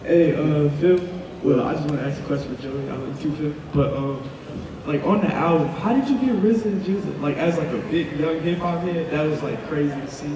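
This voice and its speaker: Higher-pitched man in a very echoey hall. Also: a little fast, crowd noise. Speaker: audience member asking a question. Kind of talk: casual audience question. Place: large indoor event space. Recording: very echoey noisy hall from far off.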